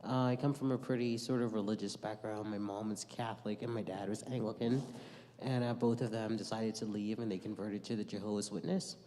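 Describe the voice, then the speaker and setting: A man's voice: fairly low pitch, fairly even intonation, a little fast, warm tone. Reflective man. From Bahamas. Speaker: class participant. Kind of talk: casual self-introduction. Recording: long church-hall reverb.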